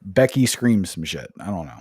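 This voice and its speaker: A man's voice: low voice, very animated, moderate pace, darkish tone, breathy edge, no echo. Casual laid-back man recapping, dry sound. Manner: swearing, laughing recap with jokey put-on voices. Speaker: podcast host recapping wrestling. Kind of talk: casual chat.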